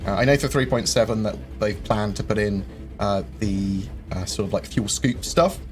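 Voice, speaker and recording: A man hosting a live-stream Q&A, rambling through viewer questions with lots of ums and quick jokes. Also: on the low side. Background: faint game music.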